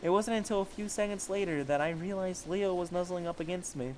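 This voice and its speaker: A man's voice: high-pitched, brisk, a little mellow, a little hiss or hum. A man casually reading fan fiction aloud as an amateur story reader, stumbling over the text and correcting himself.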